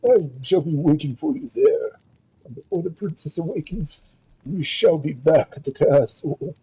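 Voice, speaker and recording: Man voicing a wise, reassuring talking stallion, husky. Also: fairly high pitch, mellow, pitched above the performer's own voice, rough recording. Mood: instructing. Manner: measured, instructing, reassuring. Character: wise, loyal talking stallion who counsels his master.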